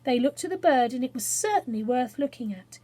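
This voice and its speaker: Expressive, higher-pitched woman reading a children's story. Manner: expressive, dramatic pauses and emphasis. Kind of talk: animated children's story narration.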